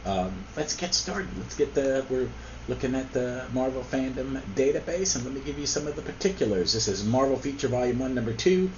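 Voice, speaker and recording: A man's voice: mid-range voice, lively intonation, brisk, a little mellow. Man, froggy voice from a cold, casual host, meandering with ums, slightly muffled lo-fi sound. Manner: rambling, with frequent ums and restarts. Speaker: podcast host. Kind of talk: casual comic book review. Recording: slightly dull lo-fi recording with faint noise.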